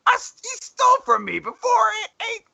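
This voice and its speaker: A man voicing a shrill, distressed victim and accuser in a shrieking, whiny manner. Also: wildly swooping pitch, brisk, vocal fry, pushed and bright, full character voice. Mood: indignant.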